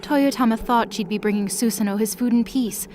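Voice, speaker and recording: A woman's voice: fairly high pitch, brisk, very noisy. A woman reading scripted narration for a dramatic mythological story.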